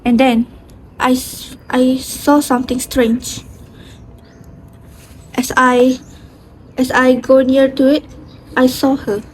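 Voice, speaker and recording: Casting: amateur actress as troubled villager with tragic news, high female voice, stagey delivery, noisy recording. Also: level tone, slow, pauses often. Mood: grave recollection. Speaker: amateur student actor. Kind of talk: stage play dialogue, recited.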